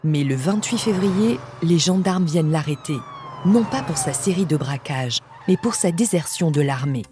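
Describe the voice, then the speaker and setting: A woman's voice: lowish voice, lively intonation, moderate pace. A woman reading a scripted true-crime story as a podcast narrator. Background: music.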